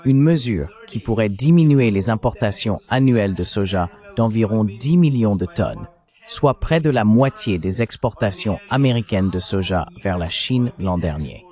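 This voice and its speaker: A man's voice: lowish voice, lively intonation, normal speed, dark tone. A man delivering scripted political and economic commentary in a formal, didactic manner. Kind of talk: scripted analytical narration.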